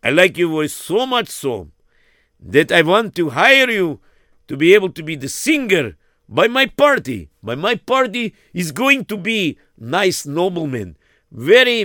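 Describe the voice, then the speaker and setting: A man's voice: sits high, very animated, moderate pace, sharp tone, dry recording, clean studio sound, full character voice. A man voicing a pompous count who speaks in broken English and coaxes grandly. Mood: coaxing. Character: pompous male count, eager nobleman in uniform. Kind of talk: acted storytelling.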